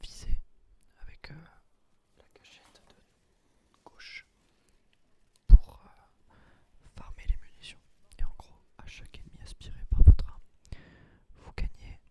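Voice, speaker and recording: A man quietly explains his gameplay in a casual, breathy ASMR whisper. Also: takes it slowly, long pauses. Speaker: gaming video creator.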